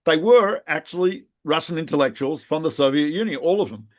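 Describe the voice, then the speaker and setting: A middle-aged man who grew up in Australia, co-hosting a podcast and telling lively anecdotes in a casual conversation. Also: fairly high pitch, fairly quick. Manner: animated storyteller, laughs at his own anecdotes. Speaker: podcast co-host, rabbi.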